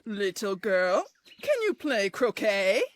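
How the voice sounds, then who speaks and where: A woman's voice: mid-range voice, big pitch swings, takes it slowly, no room sound. A woman voicing an imperious, short-tempered storybook queen with a haughty, commanding manner. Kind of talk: children's storybook cartoon acting.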